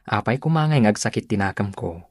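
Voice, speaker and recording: An actor playing a doting, eager young boyfriend, sweet and attentive, in an Ilocano radio drama. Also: male voice, on the low side, lively intonation, fast, darkish tone, dry recording, studio quality.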